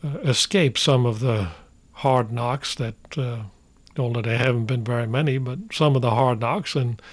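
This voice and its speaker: An older man from Norway, a radio guest, telling his life story in a slow, halting way with many pauses. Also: mid-range voice. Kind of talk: reflective radio conversation.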